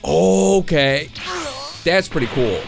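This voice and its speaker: A man's voice: on the high side, animated, slow pace, gravelly. A young man hosting a gameplay video, musing uncertainly and chuckling often. He is getting over a cold, so his voice sounds a bit off. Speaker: gaming video host. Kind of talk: casual gameplay commentary. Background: game music.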